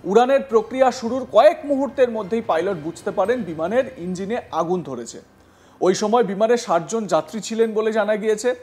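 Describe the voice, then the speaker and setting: A man presenting news narration and commentary, speaking emphatically in deliberate chunks. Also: high-pitched, a little mellow, soft background music.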